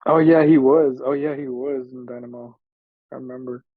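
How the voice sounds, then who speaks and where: A man's voice: fairly high pitch, sing-song, normal speed, vocal fry, dry recording, phone-quality sound. A man co-hosting a sports podcast, chatting about soccer in an informal, slangy way. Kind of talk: casual soccer chat.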